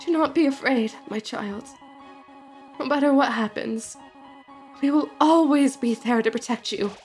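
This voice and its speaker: A woman voicing a gentle, motherly goat monster woman who speaks softly and soothingly. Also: high voice, unhurried, faint music behind. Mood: tender. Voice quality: soft, soothing.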